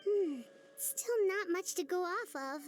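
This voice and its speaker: A woman voicing a tiny, curious fairy companion in a video game, squeaky, childlike, expressive and cartoonish. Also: slow pace, soft background music, exaggerated voice.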